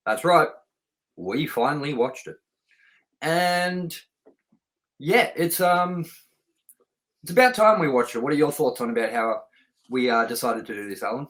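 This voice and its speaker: A man's voice: a little high, lively intonation, unhurried, pauses often, a bit bright. Chatty middle-aged man hosting casually. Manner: chatty, rambling, anecdotal. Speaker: host of a film review show. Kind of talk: casual unscripted review chat.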